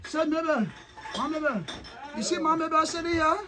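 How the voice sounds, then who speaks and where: A Liberian man with a very high voice, speaking loud and animated to an outdoor crowd. He laughs often and repeats himself, joking with the people around him. A dense crowd chatters behind him. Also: normal speed. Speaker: guest speaker from Dallas. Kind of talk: excited, joking speech. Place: outdoor community barbecue. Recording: noisy crowd all around.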